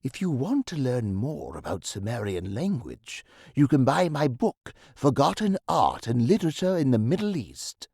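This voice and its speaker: An actor playing a busy, modest academic professor, formal and articulate, somewhat fussy and evasive, in a video game. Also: male voice, medium pitch, very animated, gravelly, a little mellow, slightly rough, dry recording, studio quality, full character voice. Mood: formal, guarded. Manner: formal, articulate, slightly hurried. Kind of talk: scripted point-and-click game dialogue.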